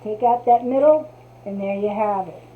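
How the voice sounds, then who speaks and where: A woman's voice: mid-range voice, normal speed, slight background noise. Chatty Jersey woman, tinny sound. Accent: New Jersey. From New Jersey. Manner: chatty, talks to herself while working. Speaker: craft tutorial host. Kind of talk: casual craft demonstration. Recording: tinny, phone-like audio.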